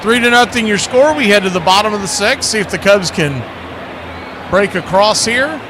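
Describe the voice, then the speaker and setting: A man's voice: sits high, animated, moderate pace, noisy background. A man doing live baseball play-by-play, mixing clipped calls with conversational analysis. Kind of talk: live baseball radio commentary.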